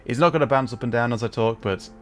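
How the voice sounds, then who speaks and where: A man's voice: mid-range voice, fast, soft background music. A man hosting a casual gaming livestream, chatting with a wry, self-deprecating manner. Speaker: livestreamer host.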